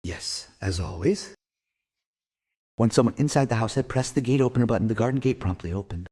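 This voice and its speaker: A man playing a separated father in a crime audio drama, explaining himself in halting, clipped, self-justifying phrases. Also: mid-range voice, dark tone, slightly rough, close to an everyday voice. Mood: defensive, uneasy. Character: separated father giving a defensive, halting account to police.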